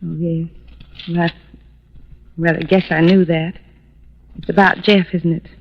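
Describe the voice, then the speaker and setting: Sweet, worried young radio-drama wife. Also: female voice, lowish voice, flat delivery, a little slow, a little hiss or hum. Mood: sorrowful. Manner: earnest, hesitant, confiding. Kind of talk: old-time radio drama acting. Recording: muffled vintage radio recording.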